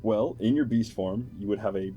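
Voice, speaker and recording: A man's voice: on the low side, moderate pace, dark tone, highs cut off, soft background music. An amused, playful man, explaining a tabletop game character build in a chatty style. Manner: amused, playful, chuckling often. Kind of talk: explanatory chat with a co-host.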